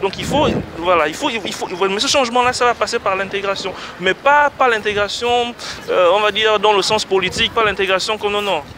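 A middle-aged man giving a measured, lecturing answer in a street interview, repeating words for emphasis, on a busy city street. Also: high voice. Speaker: passerby interviewee. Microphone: interviewer's handheld phone. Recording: phone audio with street noise.

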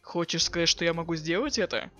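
A man voicing a cool, sarcastic teenage boy with offhand deadpan delivery in a webcomic dub. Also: on the high side, a little fast, soft background music. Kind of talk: fan dub of a webcomic chat.

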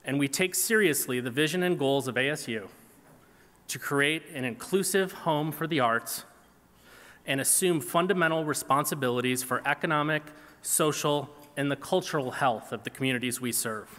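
A man in his forties giving a prepared award acceptance speech from a podium in a large hall, earnest and measured. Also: on the high side, fairly bright tone. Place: large banquet hall stage. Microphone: podium microphone. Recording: reverberant hall PA sound.

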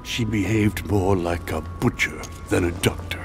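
An actor playing a solemn, measured middle-aged statesman in a video game. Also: low voice. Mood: grave. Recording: game audio with music behind.

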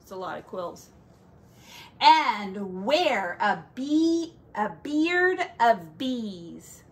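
A woman teacher reading a picture book aloud to young children, with drawn-out emphasis and playful asides. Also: medium pitch, sing-song.

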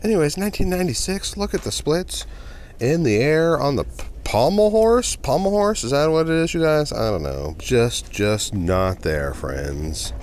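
A man's voice: fairly high pitch, expressive, normal speed, darkish tone, slight background noise. Chatty man vlogging at a flea market. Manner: chatty, upbeat, talks to viewers as "you guys". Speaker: reseller vlogger. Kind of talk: casual walkaround vlog.

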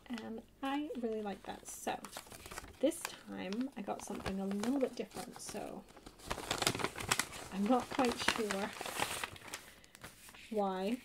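A soft-spoken woman chatting casually in a rambling way for an ASMR haul video. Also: mid-range voice, measured pace, long pauses. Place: indoors at a table, hands-only camera. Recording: clear background hiss or noise.

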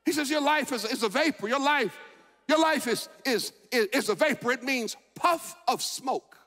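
A man in his forties preaches from the pulpit with a high, ringing voice. He swings from loud, shouted declarations down to quiet, reflective talk and repeats words and phrases for emphasis. The sound carries the long reverb of a large church sanctuary. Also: normal speed. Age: 40s. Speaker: pastor. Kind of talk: sermon.

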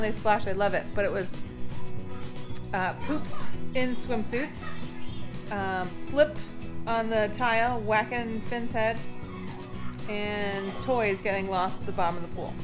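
A woman in her thirties chatting casually to a handheld camera during a family road trip vlog. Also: medium pitch. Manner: chatty, self-filming with a handheld camera, laughs often. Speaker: family vlogger. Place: outdoors in a yard, then a van and a hotel room. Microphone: handheld action camera at arm's length.

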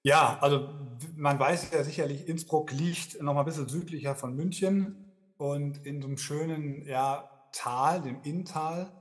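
A man's voice: on the high side, measured pace. A man from the Ruhr area, a sales manager as podcast guest, answering in a friendly, rambling way with many fillers. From Germany (Dortmund, Ruhr area). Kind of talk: conversational interview answer.

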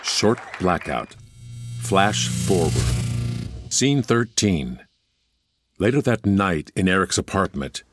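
A man reading stage directions as the narrator of an audio play, in a measured, scene-setting way. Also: sits low, lively intonation. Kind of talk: scripted narration. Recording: music and crowd behind.

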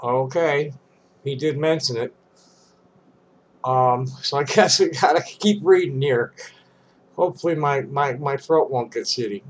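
A man's voice: medium pitch, a little slow, long pauses, a little hiss or hum. An older man with a congested voice, reading a statement aloud and adding casual asides. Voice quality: congested, stuffy. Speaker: vlogger. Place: small cluttered room indoors.

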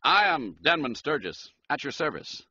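A businesslike storybook detective, voiced by a man, brisk and sure of himself. The voice is bright with a slightly husky edge. The recording is a little muffled. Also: fairly high pitch, sing-song, no echo, voice pushed up, exaggerated voice. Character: gimlet-eyed, confident, businesslike male detective.

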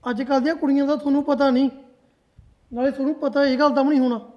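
A suspicious young husband, acted by a man. His voice is fairly high for a man, and he keeps accusing and insisting on his point. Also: steady tone, very fast, a little mellow, muffled recording. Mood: bitter.

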